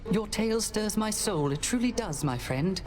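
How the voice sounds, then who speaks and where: A man's voice: sits high, expressive, moderate pace, a little mellow, soft background music. A man voicing a dreamy, credulous bard in a video game, speaking with florid, reverent wonder. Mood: moved, reverent. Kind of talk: video game character acting.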